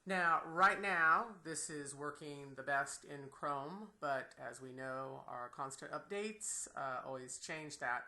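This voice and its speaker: A woman giving an unscripted, enthusiastic screen-share software tutorial as a teacher, with a creaky voice. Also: sits low, slow pace, few pauses. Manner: enthusiastic, exclaims in delight.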